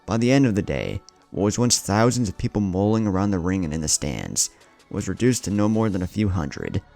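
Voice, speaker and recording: A man's voice: low voice, sing-song, a little fast, gravelly, warm tone, faint music behind. A man reading a dramatic scripted story as its narrator.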